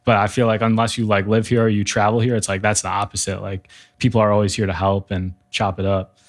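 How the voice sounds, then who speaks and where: A man's voice: on the low side, flat delivery, quick pace. A man hosting a podcast, asking questions in a casual, upbeat, slangy way. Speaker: podcast host. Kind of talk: casual interview.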